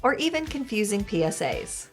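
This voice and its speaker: A woman's voice: mid-range voice, big pitch swings, normal speed, faint music behind. A woman co-hosting a podcast, delivering comedic chat and promo lines. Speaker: podcast co-host.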